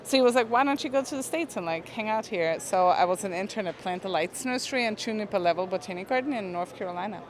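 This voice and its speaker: A young woman from Austria, chatting casually about plants in an interview at a trade show, relaxed and soft-spoken. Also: medium pitch, fast, hardly any pauses, a little hiss or hum. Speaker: plant product manager.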